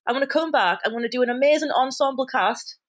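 A woman's voice: sits high, quick pace. A woman film blogger, a guest on a podcast, talking eagerly with many "you know"s and "like"s and frequent laughs. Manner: bubbly, enthusiastic, laughs often, many fillers. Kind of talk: casual chat.

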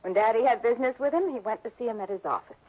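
A defiant young woman, played by an actress, with a high, bright voice, arguing her case in a headstrong way. It comes through a dull, lo-fi old radio broadcast. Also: racing, lightly acted. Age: young adult. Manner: headstrong, defensive. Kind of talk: 1960s radio drama acting.

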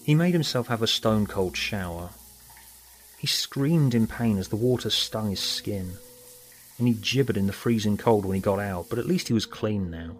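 A man's voice: fairly low pitch, moderate pace. A man reading scripted story narration as an audiobook narrator. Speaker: author and narrator.

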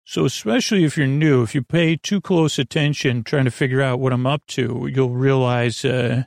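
Creaky-voiced man, lulling bedtime rambler. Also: medium pitch, a little mellow, dry recording, studio quality. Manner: meandering, halting, full of tangents and self-corrections; lulling, soothing. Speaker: podcast host.

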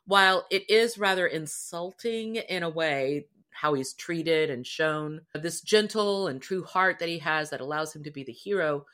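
Female co-host, slightly low register, earnest and expressive, casual story discussion. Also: a little slow, hardly any pauses. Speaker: podcast co-host.

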